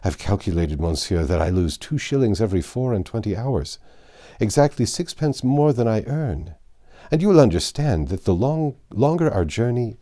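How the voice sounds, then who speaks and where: Here is a talkative, trusting French manservant from a storybook, acted out by a man. He is proud and exclaims emphatically, with a very lively swing in pitch and a soft-edged, mellow tone. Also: normal speed. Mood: worried. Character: voluble, proud, trusting male French servant.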